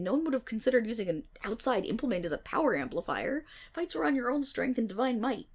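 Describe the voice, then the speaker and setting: A woman's voice: sits high, full character voice. A woman playing a nerdy, playful best friend in an ASMR roleplay, telling stories in a chatty, dramatic, self-interrupting way with mock exasperation. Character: nerdy, playful female best friend rambling excitedly about mythology. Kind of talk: ASMR roleplay, casual storytelling monologue.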